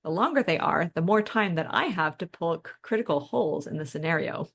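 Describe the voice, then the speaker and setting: Lower-pitched woman podcaster with creaky voice. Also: lively intonation, a little fast. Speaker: podcast co-host. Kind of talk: conversational podcast discussion of ideas.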